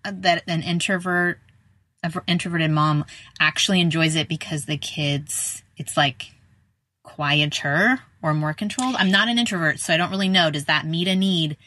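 American woman, middle-aged podcast host, low voice, informal and chatty, dry close recording. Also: moderate pace, pushed and bright, dry recording. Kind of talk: casual conversational interview.

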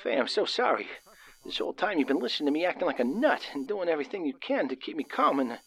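An actor playing a nervous, excitable young working man of the 1930s, stammering and using folksy slang, in a radio drama. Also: on the high side, fast talker, breathy edge, heavily acted. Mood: apologetic, concerned. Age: young adult. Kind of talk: old-time radio drama acting.

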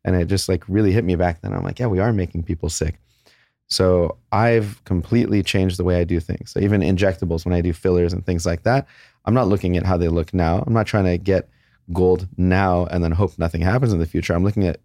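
Man, deep voice, blunt and candid, casual interview talk, dry close sound. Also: mellow, dry recording. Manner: blunt, candid, with crude jokes and laughs. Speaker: plastic surgeon.

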